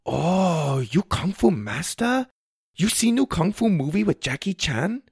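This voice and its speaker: A man's voice: high voice, big pitch swings, a little slow, vocal fry, slightly rough, dry recording, studio quality, pitched above the performer's own voice, exaggerated voice. A man reading aloud and voicing a cheerful male river boat tour guide who speaks eager broken English.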